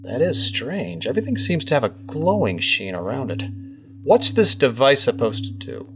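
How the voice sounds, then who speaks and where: A man's voice: mid-range voice, very animated, normal speed. A man acting in an audio drama as an earnest, puzzled government investigator.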